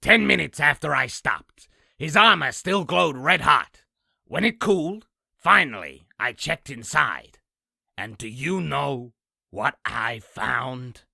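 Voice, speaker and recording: Bragging demon storyteller, piercingly sharp rasp, heavy fry, theatrical comic-dub delivery. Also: male voice, mid-range voice, expressive, takes it slowly, dry recording, studio quality, full character voice. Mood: gloating. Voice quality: raspy, gravelly. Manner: theatrical, self-aggrandizing. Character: boastful male demon telling his own exaggerated battle story. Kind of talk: comic dub character narration.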